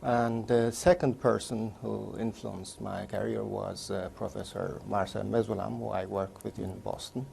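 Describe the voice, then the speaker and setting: Man, 60s, from Turkey; low gravelly voice, measured interview answers, faint room hum. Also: steady tone, darkish tone. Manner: measured, recounting career step by step. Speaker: interviewee, neurologist. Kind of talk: oral history interview.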